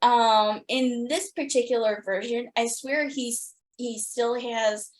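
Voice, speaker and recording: A woman hosting a podcast, talking as an enthusiastic fan in a gushing, halting way. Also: a little high, steady tone. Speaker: podcast host interviewing guests.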